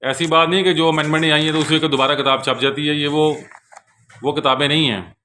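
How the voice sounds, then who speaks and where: A man's voice: a little high, steady tone, a little fast, pushed and bright, slight background noise. A man with a Pakistani accent giving an informal product overview in a conversational, explanatory way, often repeating his points, recorded on a handheld camera. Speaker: bookseller presenting law books. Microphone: handheld camera held in one hand.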